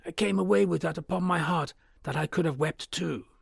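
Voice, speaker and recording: A man voicing an old male butler who speaks with anxious, hushed dread and a gravelly edge. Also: on the high side, a little fast, no room sound, clean studio sound, pitched above the performer's own voice, exaggerated voice. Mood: haunted. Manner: anxious, earnest, dread-filled. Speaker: servant in a story.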